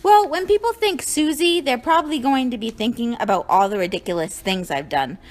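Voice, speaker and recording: Woman playing a high, lively, fretful girl puppet. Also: brisk, natural voice. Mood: self-conscious. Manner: fretful, chatty, musing aloud. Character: anxious, self-conscious young girl puppet named Susie. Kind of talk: children's puppet show dialogue.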